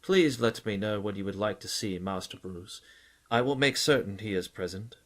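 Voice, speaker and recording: A man voicing a dutiful, concerned butler in an audiobook, speaking in a formal, measured and politely reserved way. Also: on the low side, animated. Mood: resigned, formal. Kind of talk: audiobook character acting.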